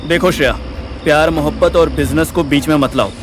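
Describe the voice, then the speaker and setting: A man's voice: fairly high pitch, steady tone, a little fast, noisy background, natural voice. A man playing a hot-headed, easily affronted young sidekick in an audio drama, slangy and complaining, with an Indian accent. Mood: indignant. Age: young adult.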